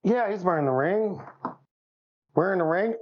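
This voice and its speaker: A man with a gravelly voice, bantering casually as a player in a tabletop game. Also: high-pitched, animated, normal speed, highs cut off. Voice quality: gravelly, creaky.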